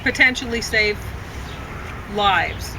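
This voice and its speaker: A woman's voice: mid-range voice, expressive, takes it slowly, gravelly, noisy background. A woman reviewing films in a casual, unscripted chat, rambling and often correcting herself as she goes. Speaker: film reviewer.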